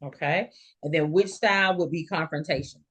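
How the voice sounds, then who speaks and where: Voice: mid-range voice, moderate pace, fairly bright tone, husky. Nursing instructor of unclear sex, middle-register voice, lecturing a live class informally and explaining with everyday examples. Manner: explanatory, illustrates points with quoted examples.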